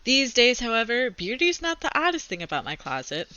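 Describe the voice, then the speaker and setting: Female teacher type reading to kids, crisp bright tone, informal explanatory delivery, slightly muffled dry home recording. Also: fairly high pitch, sing-song, fairly quick, no room sound. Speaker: teacher reading a book aloud to a class. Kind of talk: children's read-aloud with casual asides.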